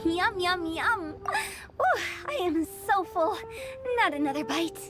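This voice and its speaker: A high, lively voice of a cheeky young woman in an animated game. She is eccentric, theatrical and teasing, chattering over background music. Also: slow, heavily acted. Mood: delighted, flattering. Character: playful, cheeky, eccentric young female funeral parlour director. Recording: clear music bed behind voice.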